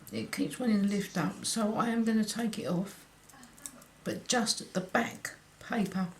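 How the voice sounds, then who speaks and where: A woman with a croaky, worn voice and a lilt guides viewers through a craft project. She speaks unhurriedly, mumbling and slipping into whispers while her hands work. A faint hiss and small clicks sit under her voice. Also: medium pitch. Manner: slow, half-murmured commentary while working, often dropping to a whisper. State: croaky voice from illness. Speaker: card-making tutor.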